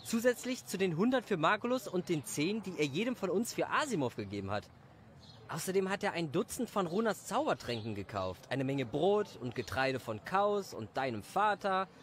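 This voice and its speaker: A hot-headed village husband from an audio drama, acted by a man, blunt and sputtering. His voice is high and swoops with indignation. Some background noise sits behind it. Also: fairly bright tone. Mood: puzzled, skeptical. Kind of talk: animated audio drama acting.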